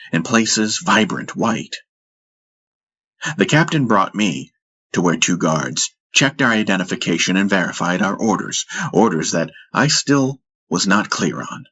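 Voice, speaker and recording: A man narrating first-person fiction in a measured, dramatic storytelling manner. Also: low voice, expressive, fairly bright tone, breathy edge. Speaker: audiobook narrator.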